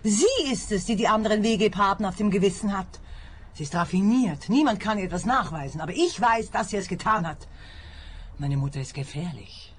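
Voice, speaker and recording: Casting: forceful daughter, radio drama, husky medium-pitched voice, demanding. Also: female voice, expressive, normal speed. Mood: insistent, accusing. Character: energetic, insistent adult daughter, female.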